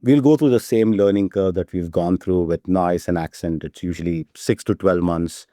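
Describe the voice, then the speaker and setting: A man with a low, mellow voice talks eagerly as a company founder being interviewed. He often ends his points with a quick "right?". The sound is close and dry. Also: dry recording. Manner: enthusiastic, repeats "right?" as a tag. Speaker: startup CEO, guest. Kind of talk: casual interview talk.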